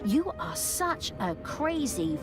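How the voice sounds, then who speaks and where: The wicked, sneering dark elf who slowly orders minions about in a fantasy strategy game, voice swooping over the soundtrack. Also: female voice, high voice, very animated, heavily acted. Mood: triumphant, taunting. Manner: sarcastic, taunting, theatrical. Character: gleefully wicked, sarcastic female dark elf commander. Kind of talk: video game character acting. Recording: game music behind the voice. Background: game music.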